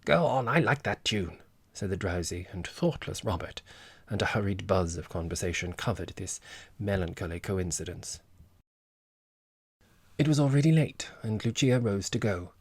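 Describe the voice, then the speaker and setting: A man's voice: low voice, moderate pace, darkish tone, breathy edge, no echo. A man reading literary fiction as a scripted audiobook narration.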